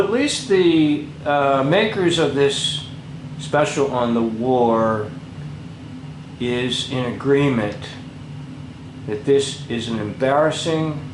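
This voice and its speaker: A man's voice: medium pitch, reverberant, noisy background. A man commenting over a documentary in an indignant, lecturing way.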